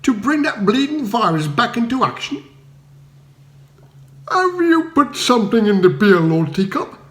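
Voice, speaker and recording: A man's voice: very high voice, sing-song, measured pace, fairly bright tone, reverberant, voice pushed up, heavily acted. A man voicing rowdy, indignant Cockney commoners who heckle in angry slang.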